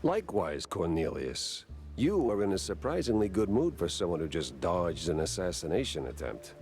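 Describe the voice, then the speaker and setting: An actor playing a wry, weary mouse private detective with a sardonic deadpan, in a noir cartoon game. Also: male voice, low-pitched, normal speed, faint music behind. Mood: wry, cool. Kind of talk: 1930s film noir cartoon game acting.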